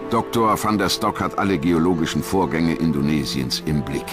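A man's voice: rumbling low, lively intonation, moderate pace. A man reading scripted narration as the narrator of a documentary. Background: music.

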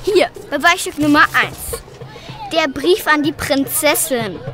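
A woman playing a calm, deliberate detective girl. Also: high voice, soft background music, full character voice. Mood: serious. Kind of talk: children's radio play.

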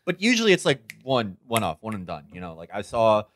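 A man's voice: on the low side, wildly swooping pitch, fairly quick. A man co-hosting a podcast, riffing casually in a sardonic, rambling, profane way. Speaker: podcast co-host.

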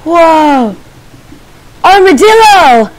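A young woman giving casual, excitable commentary while playing a game, squealing and making playful sing-song noises. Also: very high voice, very slow, rough recording. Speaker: gamer. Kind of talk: casual let's play commentary.

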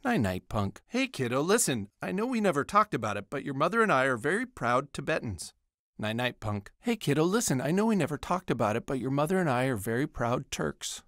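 An actor playing a put-upon, scatterbrained suburban dad in a cartoon video game, talking in a casual, rambling way. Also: male voice, medium pitch, very animated, a little fast, a bit bright, no echo, studio quality. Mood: calm, fatherly. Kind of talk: scripted video game voice lines.

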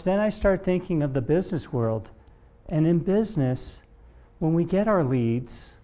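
A middle-aged man giving a motivational keynote talk from a stage, speaking in deliberate, emphatic phrases with pauses. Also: on the high side, warm tone. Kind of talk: motivational public talk, storytelling. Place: stage in a conference room before a seated audience. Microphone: lapel mic.

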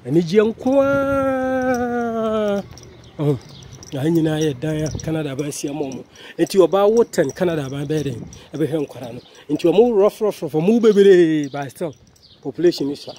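A Ghanaian man with a fairly high voice gives a casual, chatty walking vlog. He jokes along as he addresses his viewers. The phone recording is noisy, with outdoor sound around him. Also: mellow. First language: Twi. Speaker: vlogger, channel host. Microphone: handheld phone.

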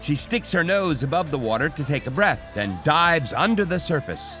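A man's voice: on the high side, very animated, normal speed. A man reading scripted audio description as a narrator. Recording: loud music under voice. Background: music with guitar and singing.

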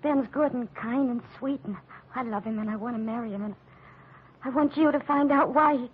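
An actress playing a distraught, loyal young woman in 1940s radio melodrama, halting and pleading. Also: fairly high pitch, natural voice. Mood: desperate, pleading. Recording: noisy, lo-fi old radio recording.

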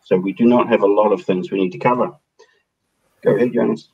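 Deep-voiced husky male call host, 30s, relaxed; dull headset audio. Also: fairly quick. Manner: relaxed, chatty. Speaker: meeting host. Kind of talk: casual video call discussion. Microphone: headset on video call.

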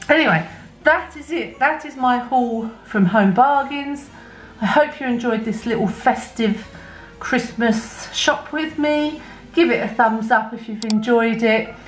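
A middle-aged British woman chatting casually to camera for a haul video, rambling and correcting herself as she goes. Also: a little high. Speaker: shopping haul vlogger. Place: home kitchen, indoors. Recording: echoey kitchen, faint background music. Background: faint music.

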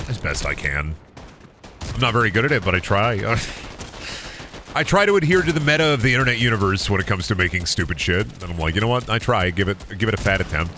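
Low, bright-edged male streamer, sick voice near cracking, rambling, self-deprecating, headset at home. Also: slight background noise. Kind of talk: casual gameplay stream chat. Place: home room at a desk.

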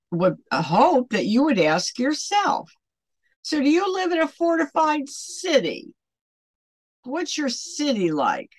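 An older woman in her seventies leads an online Bible study in an earnest, questioning way. She speaks slowly, with lively swings in pitch that stress her points. The sound is dry and close, as in a small room. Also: dry recording. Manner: earnest, deliberate, emphatic questioning. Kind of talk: devotional teaching to camera, with pointed questions. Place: small home room, indoors.

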